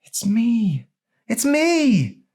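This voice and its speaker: A man's voice: very high-pitched, wildly swooping pitch, drawn-out words, a little mellow, slightly rough, voice pushed up, exaggerated voice. A man voicing a frightened but loving teenage grandson in an audiobook, pleading in an urgent, strained way. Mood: frantic.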